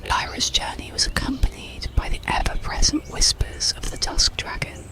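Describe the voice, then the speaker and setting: A storyteller narrating an ASMR bedtime story in a slow, soothing, hushed whisper. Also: medium pitch, creaky. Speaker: audiobook narrator.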